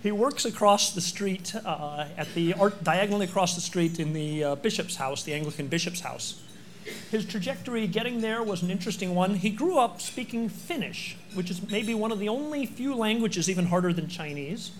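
Male host, high voice, chatty intro full of ums, faint hiss behind. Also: expressive. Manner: chatty, halting with fillers. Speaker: event host. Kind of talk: speaker introduction.

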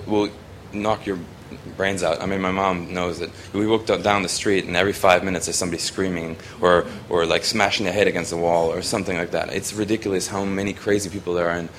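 Young man from Hong Kong, deep low voice, loose rambling delivery over faint background noise. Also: fairly bright tone. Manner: rambling, many ums and restarts. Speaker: student photographer presenting his work. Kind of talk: casual spoken presentation.

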